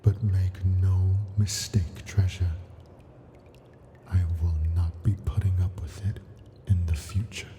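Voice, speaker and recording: A man playing an ancient, possessive and imperious yet doting male dragon in an intimate ASMR roleplay, murmuring deliberately and sometimes dropping to a whisper. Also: low voice, little pitch movement, music in the background. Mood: stern, menacing. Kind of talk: ASMR roleplay, slow intimate character acting.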